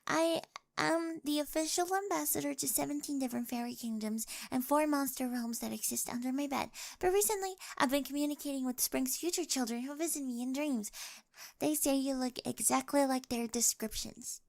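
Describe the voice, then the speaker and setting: A woman's voice: sits high, fairly quick, vocal fry. A woman playing an odd, fanciful young girl who talks with earnest, matter-of-fact formality in an ASMR comedy roleplay. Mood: solemn, dreamy.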